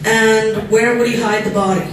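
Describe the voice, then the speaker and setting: Loud woman doing a roast, dry sarcastic jokes, distant and boomy, big crowd laughing. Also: steady tone, normal speed. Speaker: sister-in-law giving a roast speech. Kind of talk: roast speech. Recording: echoey hall from far off with crowd. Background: laughing audience.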